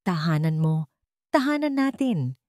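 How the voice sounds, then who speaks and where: A devoted, teasing man in love, acted by a woman for a romantic audio drama. The delivery is earnest and mellow, with swooping intonation and a dry sound. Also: on the low side, sing-song, a little slow, no room sound. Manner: earnest, tender. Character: devoted, gently teasing man courting a cafe owner.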